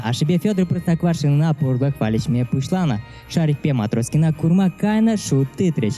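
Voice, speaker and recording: A man with a very dark, soft-edged voice, a native Chuvash speaker. He narrates a scripted film review at a slightly raised pitch, with music underneath.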